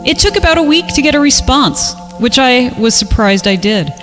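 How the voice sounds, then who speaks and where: Irreverent podcast reader, very lively, music underneath. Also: high-pitched, moderate pace. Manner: irreverent, joking. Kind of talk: reading an amateur horror story aloud with joking banter.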